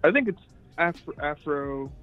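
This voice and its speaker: A man's voice: on the high side, normal speed. A young man whose first language is French, chatting casually and laughing easily in an interview over a call. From Cameroon. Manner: relaxed, chatty, laughs a lot. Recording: tinny phone-like line with faint music.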